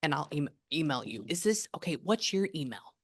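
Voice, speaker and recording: Low-pitched woman, rambling psychic reading, casual talk. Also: sing-song, fairly quick. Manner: chatty, rambling, frequent restarts and "like". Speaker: psychic medium. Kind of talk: casual reading on a video call.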